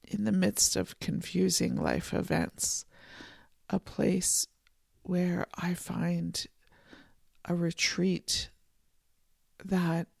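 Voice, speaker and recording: A podcast host giving a soft, contemplative solo monologue from a home office, voice a little froggy this morning. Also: medium pitch, animated, gravelly, no room sound. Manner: soft, slow and contemplative, with gentle restarts. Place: home office and art studio.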